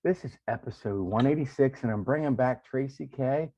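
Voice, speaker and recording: A man's voice: medium pitch, moderate pace. A man hosting an interview, chatting casually and telling stories with frequent restarts. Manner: relaxed storyteller, many restarts. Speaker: podcast host. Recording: dull lo-fi sound.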